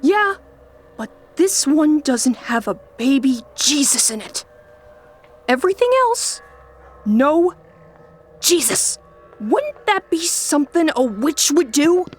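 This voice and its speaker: Woman playing a wry, high-pitched schoolboy sidekick. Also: lively intonation, takes it slowly, pauses often, soft background music. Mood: spooked. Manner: teasing, slangy. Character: wry, food-loving middle school boy, wary sidekick. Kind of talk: audio drama dialogue.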